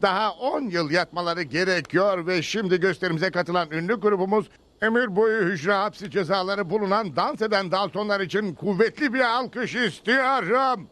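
A man's voice: high voice, normal speed, a bit bright. An actor playing a prison show announcer in a dubbed cartoon, presenting like a showman. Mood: upbeat, presenting. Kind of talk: Turkish-dubbed TV cartoon acting.

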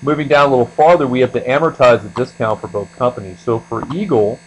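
A man giving an explanatory screencast lecture as an instructor, speaking deliberately and letting the ends of phrases trail off. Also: medium pitch, poor audio. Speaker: accounting instructor. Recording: steady mains hum behind voice.

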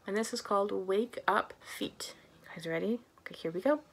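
A woman's voice: medium pitch, fairly quick. A young adult woman presenting a storytime for small children as a librarian, speaking to them in simple, encouraging phrases with lots of questions.

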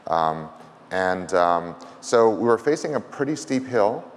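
A middle-aged man co-presenting informally at a conference, with a hesitant, pausing delivery. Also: lowish voice, big pitch swings. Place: large conference stage, indoors. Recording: reverberant hall with background noise.

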